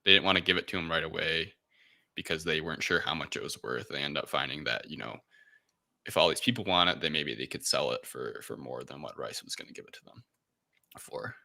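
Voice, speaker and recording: A man with a deep voice that has a sharp, very bright edge. He talks as a podcast host in a casual recap, improvising his retelling loosely and dropping in quoted asides. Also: brisk, gravelly, no echo, studio quality. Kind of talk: casual film recap chat.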